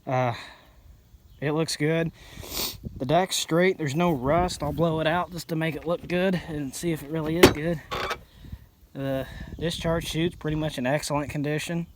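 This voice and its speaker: A man's voice: a little high, fairly even intonation, moderate pace, pauses often, heavy background noise. A sniffly man narrating his repair work in a casual, rambling, blunt way, often correcting himself. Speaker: hobby mechanic vlogger.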